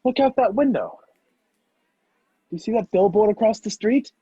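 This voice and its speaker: A man acting a husky, urgent fugitive. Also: high-pitched, lively intonation, moderate pace, warm tone, no room sound, full character voice. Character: wrongly accused man on the run, urgent and impatient. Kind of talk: radio play acting. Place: video call, dry room. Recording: muffled video-call audio.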